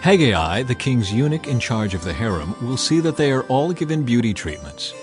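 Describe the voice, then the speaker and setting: Man playing a courtly royal attendant, expressive. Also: medium pitch, normal speed, music in the background, lightly acted. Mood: persuasive. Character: eager, courtly male royal attendant advising his king. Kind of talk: dramatized scripture reading.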